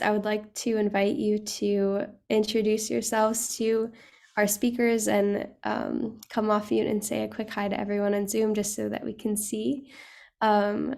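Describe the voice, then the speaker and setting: Female host for an online panel: low-key and even in pitch, gravelly, formal and courteous. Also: unhurried. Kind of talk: introducing guest speakers at an online session. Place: online video call.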